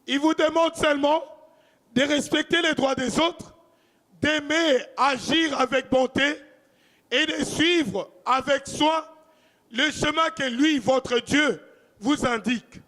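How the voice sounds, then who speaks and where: A young man reading scripture aloud in a church, careful and deliberate. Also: very high-pitched, fairly bright tone. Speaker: scripture reader. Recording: reverberant church hall.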